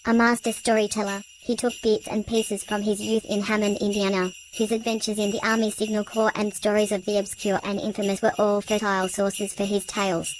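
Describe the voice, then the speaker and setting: A woman's voice: mid-range voice, level tone, brisk, hardly any pauses, slight background noise, poor audio. A woman reading scripted introductions as a programme host, with bells jingling behind her. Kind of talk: scripted narration.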